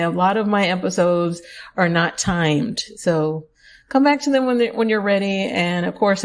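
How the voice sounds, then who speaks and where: A young adult woman hosts her own podcast, talking to listeners in a chatty, upbeat way. Her voice has a slight scratchiness from allergies. She often breaks off to correct herself in quick asides. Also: lowish voice. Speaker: podcast host. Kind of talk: affirmation podcast monologue.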